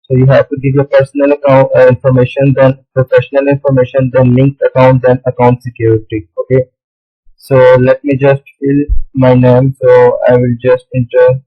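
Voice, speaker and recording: A man whose first language is Urdu, walking through a screen tutorial in a halting way, often pausing on "okay, so". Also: mid-range voice, monotone, highs cut off, soft background music. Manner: halting, with many "okay, so" fillers. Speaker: tutorial host. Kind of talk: screen-recorded tutorial walkthrough.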